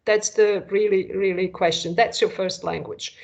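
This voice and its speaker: A woman's voice: medium pitch, steady tone, normal speed, gravelly, a little mellow. A woman presenting an online school information session, explaining patiently and asking rhetorical questions. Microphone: video call. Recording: dull lo-fi video call audio.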